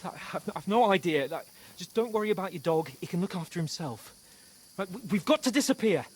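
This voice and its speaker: An actor playing a nervous young companion in the woods who stammers and rushes his words. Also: sits high, expressive, darkish tone, breathy edge. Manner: jittery, stammering, fast. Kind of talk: game cinematic acting.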